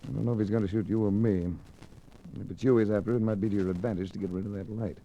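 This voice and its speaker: A man's voice: on the low side, fairly even intonation, quick pace, dark tone, dull audio, close to an everyday voice. A man playing a cool, wry hard-boiled investigator in a 1940s radio mystery, dry and unruffled as he talks and narrates. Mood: cool, alert. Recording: hissy old radio drama recording.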